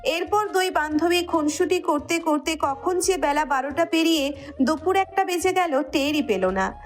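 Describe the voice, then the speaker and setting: A woman's voice: high-pitched, moderate pace, faint music behind. A woman with a Bangladeshi accent narrating a scripted romantic audio story.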